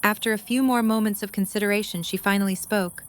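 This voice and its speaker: Narrator: woman, mid-range pitch, scripted storytelling for an audio drama. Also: fairly even intonation, quick pace.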